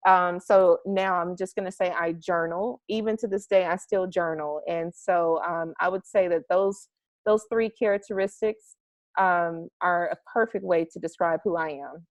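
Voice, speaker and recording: A woman's voice: lowish voice, fairly even intonation, moderate pace, dry recording. A young woman from the United States, the guest of an interview, talking eagerly and at length from her home garage, with fillers as she goes. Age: young adult. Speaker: interview guest, marketing strategist. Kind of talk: casual interview over a call.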